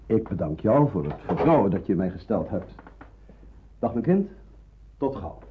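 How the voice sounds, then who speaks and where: An actor playing a kindly, grave Protestant pastor in a radio play, speaking in a measured, solemn, reassuring way. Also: male voice, lowish voice, very animated, a little hiss or hum. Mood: reassuring, fatherly. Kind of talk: Dutch radio drama acting. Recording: muffled, phone-like old radio recording.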